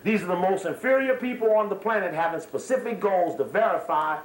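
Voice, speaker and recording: High-pitched man lecturing in an echoey room. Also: lively intonation, a little fast. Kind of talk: extemporaneous lecture to a live audience. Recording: echoey room, slightly distant microphone.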